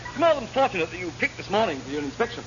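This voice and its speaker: A chatty, hopelessly vague British naval engineer in vintage radio comedy. The delivery is quick and highish, full of courteous waffle. The recording is muffled and very noisy. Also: male voice, big pitch swings. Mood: blithe, chatty. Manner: rambling, over-polite, blithely vague. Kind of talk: classic radio sitcom acting.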